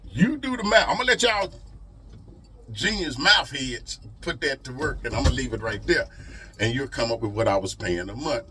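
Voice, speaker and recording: A man's voice: mid-range voice, animated, normal speed, sharp tone, recorded from a distance. A middle-aged man talks casually to his vlog audience in a folksy, emphatic way, repeating phrases for effect. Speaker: truck driver vlogger.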